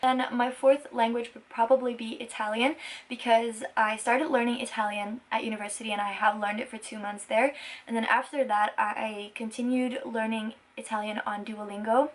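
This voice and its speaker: A woman's voice: fairly high pitch, level tone, normal speed. A woman in her twenties from Belgium, a native Dutch speaker, chatting casually to viewers in an earnest, enthusiastic and emphatic way. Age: 20s. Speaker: vlogger and musician.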